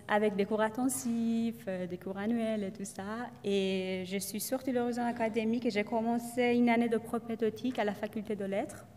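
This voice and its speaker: A woman's voice: fairly high pitch, dark tone. Hesitant woman speaking in a hall. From Iran. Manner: hesitant, many fillers and restarts. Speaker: student speaker. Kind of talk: testimonial talk to an audience.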